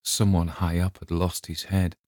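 A man's voice: sits low, flat delivery, moderate pace, darkish tone, no room sound. A man reading scripted story narration as an audiobook narrator, recorded close.

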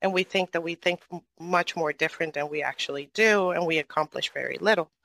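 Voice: mid-range voice, brisk, gravelly, bright, forceful tone, dry recording. A moderator from Venezuela in a casual online audio chat, asking a long, rambling question and often tagging on "right?".

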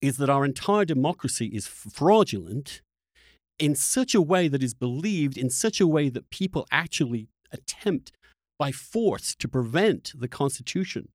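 A man's voice: mid-range voice, very animated, moderate pace, no room sound, studio quality. A man hosting a spontaneous political debate, combative and insistent, stressing his points and stammering into them. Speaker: podcast host.

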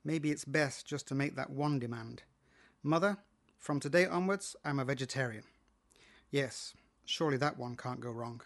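A man's voice: medium pitch, moderate pace, gravelly. A British man reading a first-person short story as its narrator. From Britain.

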